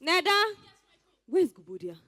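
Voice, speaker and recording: High-voiced sardonic Nigerian village rival. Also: female voice, sing-song, unhurried, sharp tone, full character voice. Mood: dry sarcasm. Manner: pointed, proverb-laden. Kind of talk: theatrical stage play acting.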